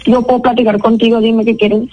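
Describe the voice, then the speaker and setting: A woman's voice: on the high side, flat delivery, brisk, faint music behind. A woman on a phone call, patient and polite, often laughing as she answers a caller's odd questions. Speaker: prank call target. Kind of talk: phone conversation.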